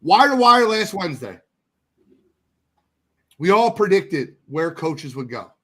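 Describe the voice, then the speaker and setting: A man's voice: high voice, very animated, takes it slowly, bright, forceful tone. A man co-hosting a casual sports podcast, blunt and teasing, who sounds congested from a sinus infection. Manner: blunt, swears, ribs the others. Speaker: podcast co-host.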